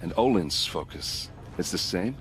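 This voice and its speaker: Rugged male fighter, low voice, wry and earnest, for a cinematic game. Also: lively intonation, a little slow. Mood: surprised, indignant. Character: gruff, wry warrior man, grieving and loyal. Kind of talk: video game voice acting.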